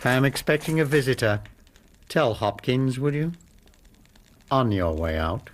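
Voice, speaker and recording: An actor playing a menacing, coldly polite English blackmailer in a radio drama, formal and smoothly condescending. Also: male voice, mid-range voice, sing-song, a little slow, a little hiss or hum. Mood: condescending menace. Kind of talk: British radio drama acting.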